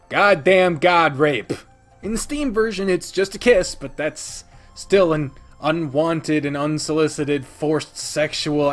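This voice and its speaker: A man's voice: on the high side, a bit bright. A man hosting a gaming channel, giving casual, opinionated commentary with emphatic delivery and frequent swearing. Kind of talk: casual ranked-list video commentary.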